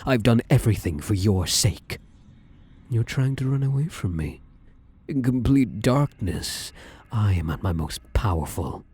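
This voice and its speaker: A man voicing a possessive, domineering male shadow spirit in an ASMR roleplay, speaking slowly and menacingly and often slipping into whispers. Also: fairly low pitch, expressive, darkish tone, breathy edge, exaggerated voice. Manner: slow, menacing, drifts into whispers.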